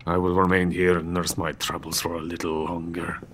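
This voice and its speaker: An actor playing a bitter, proud, drunken older Soviet weapons scientist in a video game, with a brooding, theatrical delivery. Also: male voice, deep voice, flat delivery, normal speed, slight background noise, close to an everyday voice. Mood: melancholy, proud. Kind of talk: dramatic video game cutscene acting. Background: music.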